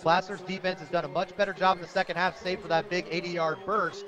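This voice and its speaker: High-pitched American man calling football over crowd. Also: fast talker. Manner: energetic, clipped present-tense calls. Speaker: radio play-by-play announcer for high school football. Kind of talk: live American football play-by-play commentary. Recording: loud crowd behind outdoor broadcast. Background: stadium crowd.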